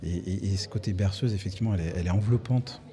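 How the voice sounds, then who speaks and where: Man, interviewer, low pitch, very dark timbre, reflective, elaborate questions. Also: very fast. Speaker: radio interviewer.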